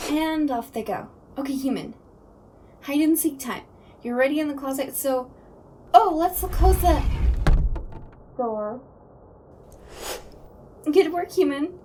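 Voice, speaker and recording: Casting: woman as silly, eager cartoonish ghost, high voice, distant noisy home recording. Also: darkish tone. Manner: playful, chirpy, eager. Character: goofy, childlike ghost who loves puns, named Chris. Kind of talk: audio roleplay acting.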